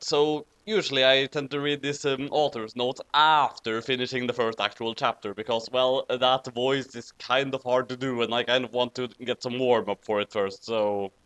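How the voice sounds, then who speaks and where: A man's voice: medium pitch, fairly bright tone, clear, resonant tone. A man from Norway hosting a casual commentary on fan fiction, talking in a hesitant, rambling way. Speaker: host of a commentary reading.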